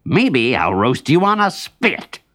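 A storyteller voicing a sly, gloating male fox villain, sulky and quick to anger, in a children's storybook tale. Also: medium pitch, big pitch swings, fairly bright tone, pitched above the performer's own voice, heavily acted. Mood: menacing glee. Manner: cackling, whining, drawn-out threats.

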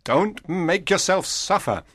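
Man playing a stubborn old brother, slow and insistent. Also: sits high, lively intonation, a bit bright, husky, full character voice. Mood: advising. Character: stubborn, protective old brother, spoiling for a fight. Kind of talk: dramatised audiobook acting.

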